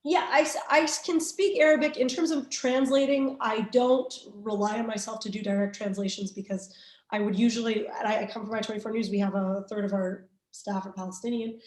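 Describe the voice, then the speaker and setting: Higher-pitched canadian-raised woman journalist, candid, lots of restarts, echoey room. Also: steady tone. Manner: candid, quick, with many restarts and fillers. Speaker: journalist guest. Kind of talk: interview answers.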